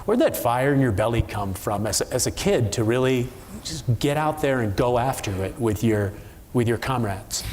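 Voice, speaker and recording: A man's voice: medium pitch, animated, normal speed, a little mellow, slight background noise. Conversational male interviewer. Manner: conversational, with repeated words at the start of questions. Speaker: guest host. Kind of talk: onstage interview questions.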